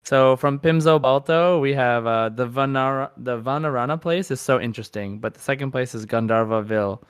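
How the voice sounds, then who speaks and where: A man's voice: medium pitch, fairly quick, clean tone, dry recording, clean studio sound. A man hosting a podcast, chatting casually and reading out listener comments in an easygoing way. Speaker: podcast host.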